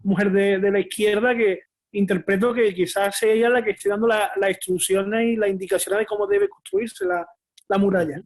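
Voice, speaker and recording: High-voiced man asking tentatively. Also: animated, normal speed. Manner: tentative, repeating words. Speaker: participant.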